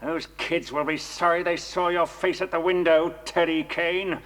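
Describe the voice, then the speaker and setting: High-pitched, echoing villain played by a man. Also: fairly even intonation, moderate pace, fairly bright tone, close to an everyday voice. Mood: threatening. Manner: curt, threatening. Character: menacing male kidnapper and traitor. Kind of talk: children's audio drama acting. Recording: long reverberant hall sound.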